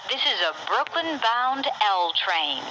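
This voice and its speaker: A woman's voice: fairly high pitch, big pitch swings, slow pace. A woman reading scripted, formally worded train announcements, enunciating each stop. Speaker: transit announcer. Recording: noisy train announcement playback with radio-like hiss.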